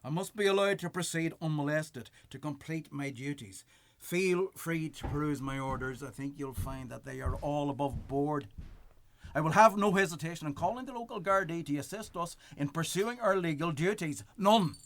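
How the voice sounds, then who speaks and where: An actor playing a cold, officious eviction bailiff who speaks in formal legalese in a radio play. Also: male voice, fairly high pitch, moderate pace, lightly acted. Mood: officious, firm.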